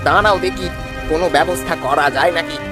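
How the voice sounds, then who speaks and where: A man voicing a poor, worried but reassuring village father in a folk-tale cartoon, in an expressive, exclaiming way. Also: on the high side, fast talker, loud music behind the voice, natural voice.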